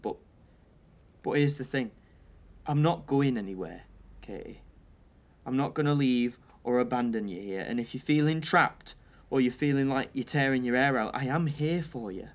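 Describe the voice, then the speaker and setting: A man's voice: medium pitch, moderate pace, long pauses, lightly acted. A man acting in an audio drama as a devoted young boyfriend with a British accent, earnest, hesitant and coaxing. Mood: earnest, reassuring. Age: young adult. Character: defensive but devoted young boyfriend, reassuring.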